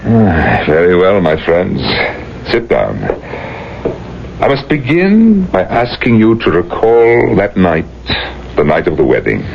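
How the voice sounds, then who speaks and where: An actor playing a weary, grave rabbi in a radio drama, speaking formally and with authority. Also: male voice, low voice, huge pitch swings, slow, vocal fry, full character voice. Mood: grave, patient. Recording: muffled, noisy old radio recording.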